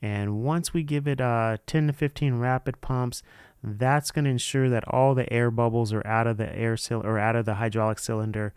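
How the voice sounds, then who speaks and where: A man's voice: medium pitch, fairly even intonation, normal speed, a little mellow, smooth, no echo, studio quality. A man narrating a hands-on how-to in an informal, unscripted way, explaining each step casually. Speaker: presenter of a DIY how-to video.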